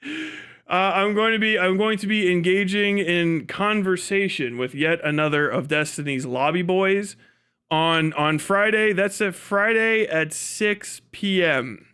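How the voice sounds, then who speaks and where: A man with a high, clear voice, streaming casually to his chat. His delivery is bright and forceful, with a mocking, animated manner and plenty of swearing. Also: a little slow. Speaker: live stream host. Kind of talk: casual livestream chat to viewers.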